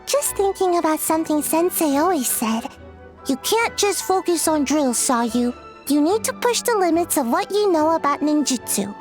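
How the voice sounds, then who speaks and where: A woman's voice: sits high, expressive, normal speed, soft background music. A woman voicing a sleepy, reluctant little ninja girl in a video game, hesitant and mumbling. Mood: thoughtful. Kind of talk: scripted video game dialogue.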